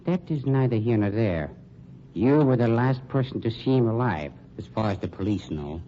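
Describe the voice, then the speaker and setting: An actor playing a suave, menacing funeral director turned sleuth, with an American accent and formal, pompous, insinuating speech in old-time radio drama style. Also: male voice, medium pitch, lively intonation, normal speed, mellow, natural voice. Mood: smooth, probing. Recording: dull, noisy old radio recording.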